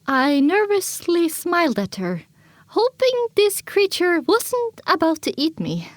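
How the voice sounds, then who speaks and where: A woman's voice: very high-pitched, huge pitch swings, slow pace, no room sound. A woman narrating an audio drama in the first person, with a dramatic scripted delivery.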